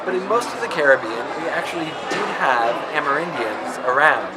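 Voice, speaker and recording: A man's voice: on the high side, expressive, fairly quick, a loud crowd, very noisy. A man giving a conversational introduction to linguistics, often checking in with the listener by asking "right?". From not from Barbados. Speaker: linguistics lecturer. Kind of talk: explanatory talk to camera. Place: public space in Barbados.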